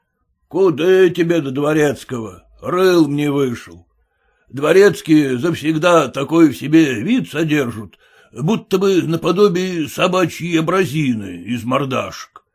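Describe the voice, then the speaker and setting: A man's voice: fairly high pitch, animated, unhurried, husky. A man voicing a stingy, domineering merchant who speaks bluntly and scolds his servants. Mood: gruff bossy. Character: gruff stingy old merchant, bossy.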